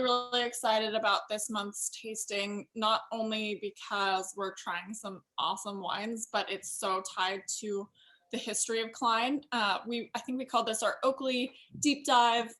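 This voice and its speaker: A woman's voice: mid-range voice, bright, forceful tone. A woman hosting an online tasting event, presenting in a casual, conversational way. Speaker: host of a winery virtual tasting.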